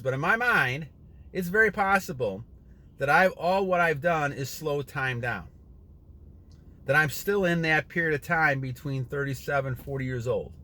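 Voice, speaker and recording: A man's voice: a little high, a bit bright. Earnest rambling man in his sixties. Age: 60s. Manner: rambling, earnest, repeats himself, clears throat often. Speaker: channel host. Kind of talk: casual motivational monologue.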